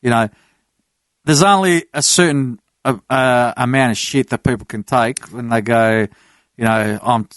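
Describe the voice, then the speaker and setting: A man's voice: medium pitch, measured pace, a bit bright, no room sound. A man on a casual podcast, bluntly explaining his view at length. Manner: blunt, explains at length with "you know what I mean". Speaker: podcast panelist. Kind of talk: casual chat.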